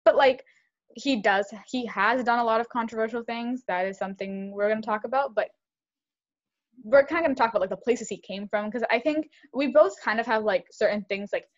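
A young woman co-hosting a podcast, talking fast and animated in casual chat, with lots of "like". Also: a little high, muffled recording. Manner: fast, animated, heavy use of "like". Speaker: podcast co-host.

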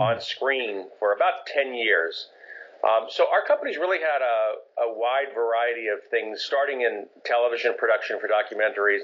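A middle-aged man who grew up in Japan answers questions thoughtfully and often stops to correct himself. His voice sits low. The recording sounds dull and lo-fi. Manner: thoughtful, many fillers and self-corrections. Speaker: film producer, guest. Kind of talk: interview answers, storytelling. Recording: dull lo-fi audio.

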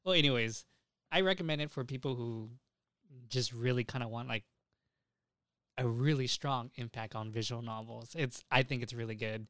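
A man's voice: mid-range voice, moderate pace, fairly bright tone, no echo, clean studio sound. A young man chatting casually as a podcast host, emphatic and opinionated, repeating himself and swearing freely.

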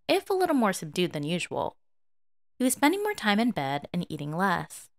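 A woman narrates fiction with an animated, expressive delivery. Her voice sits a little low for a woman and moves widely in pitch, recorded dry and close. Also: no echo.

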